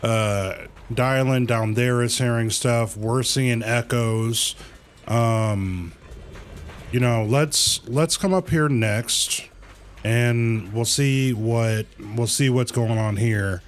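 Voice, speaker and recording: A man livestreaming a game with casual commentary, thinking aloud in a halting way and repeating phrases. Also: mid-range voice, a little hiss or hum.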